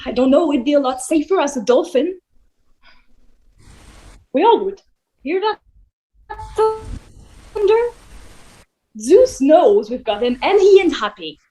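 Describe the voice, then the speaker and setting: A woman's voice: high voice, sing-song, unhurried, pauses often, mellow, low-quality recording. A woman playing a grumpy, sarcastic male god who runs a camp, in a theatrical musical table read, blustering and dismissive. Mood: irritated.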